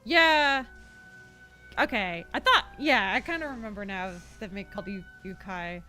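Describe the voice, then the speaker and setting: A woman streaming a game, chatting casually with her viewers in a rambling, expressive way. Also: mid-range voice, normal speed, soft background music.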